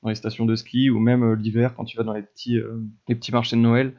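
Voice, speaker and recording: Man, gravelly voice, dull lo-fi sound, casual hesitant podcast host. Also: mid-range voice, level tone, quick pace, dark tone. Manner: asks questions, hesitant with fillers. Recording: dull lo-fi mic.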